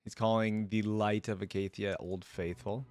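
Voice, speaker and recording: A man's voice: fairly low pitch, steady tone, moderate pace, darkish tone. A man hosting a reaction video, giving casual commentary in slangy, informal speech. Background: faint music.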